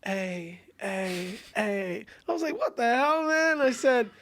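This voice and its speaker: A young man with a raspy voice, chatting casually and animatedly as a singer guest. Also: high-pitched, unhurried, no echo. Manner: casual, animated, says bro and man a lot. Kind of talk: casual chat.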